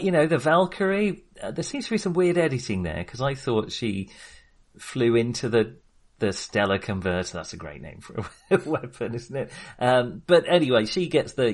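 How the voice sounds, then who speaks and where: A man co-hosting a podcast in a casual film discussion, speaking in a halting, wry, jokey manner. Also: mid-range voice, lively intonation. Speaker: podcast co-host.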